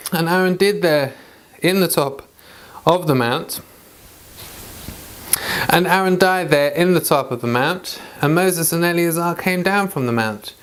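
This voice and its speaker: A man with a clear voice, reading scripture aloud with informal asides. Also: fairly high pitch. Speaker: Bible reader and commentator.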